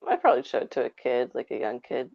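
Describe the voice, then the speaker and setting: A woman with a notably low voice chats casually as a podcast guest. She phrases things haltingly and with some hesitation. The sound is dull and lo-fi. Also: huge pitch swings, creaky. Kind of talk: casual chat. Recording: dull lo-fi call audio.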